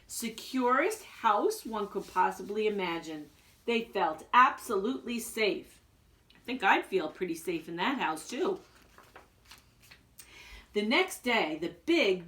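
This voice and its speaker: A woman's voice: fairly high pitch, fairly bright tone. A woman reading a children's storybook aloud with dramatic emphasis, like a school principal addressing young listeners.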